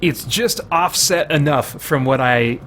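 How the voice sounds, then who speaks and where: A man's voice: on the high side, measured pace, a bit bright, slight background noise. A man giving casual first-impressions commentary on a video game, conversational and thinking aloud as he goes.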